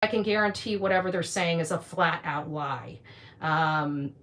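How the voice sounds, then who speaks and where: A woman's voice: sits low, unhurried, a bit bright. A woman in her fifties talking combatively and dismissively in a livestream rant, swearing with heavy emphasis. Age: 50s.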